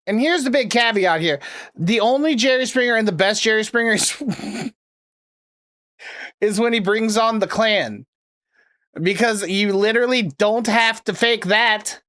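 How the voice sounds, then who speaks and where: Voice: medium pitch, expressive, a little slow, pauses often, a bit bright. A prank caller and streamer chatting casually, with a sarcastic, crude, rambling way of talking. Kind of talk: casual livestream chat and prank calling.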